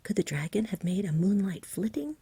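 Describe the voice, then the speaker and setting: A woman reading a bedtime story for ASMR, soft and whispery, gentle and soothing, close to the microphone. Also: medium pitch, big pitch swings, brisk, dry recording. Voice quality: soft-spoken, whispery, breathy.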